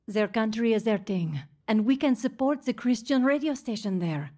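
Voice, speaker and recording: An actress playing a plucky, devoted missionary wife in a radio drama. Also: female voice, on the high side, lively intonation, moderate pace, warm tone. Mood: warm, eager.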